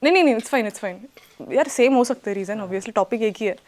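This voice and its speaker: A woman's voice: a little high, expressive, normal speed, a little mellow. A woman with an Indian accent teaching interactively, switching between two languages as she checks with her students. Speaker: workshop teacher. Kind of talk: interactive teaching, mixing Hindi and English.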